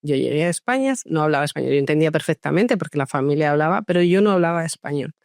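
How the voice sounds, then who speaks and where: A woman psychologist born in France, telling her story in a relaxed interview with many hesitations and restarts. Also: low voice, fast, no room sound, studio quality. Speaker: guest psychologist. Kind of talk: conversational interview.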